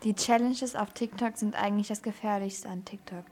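A woman's voice: mid-range voice, steady tone, fairly quick, a little mellow. A woman presenting on a children's radio programme, reading a scripted factual report.

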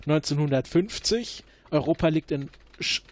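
A man's voice: on the high side, normal speed. A man playing an improvised detective role-play, hesitant and searching for words. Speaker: player.

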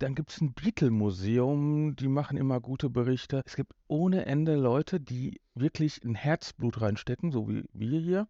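A man's voice: medium pitch, mellow. A man in his fifties from North Rhine-Westphalia hosting a casual podcast in a rambling, jokey way. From North Rhine-Westphalia, Germany. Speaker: podcast host. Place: room in a family home. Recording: dull, roomy home recording.

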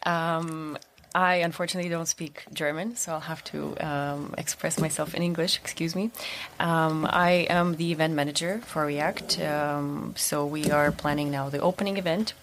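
A woman's voice: deep voice, steady tone, normal speed, a little hiss or hum. A young woman raised in Russia and the United States, chatting as an interview guest with frequent ums and restarts. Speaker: event manager, guest. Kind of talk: casual interview talk.

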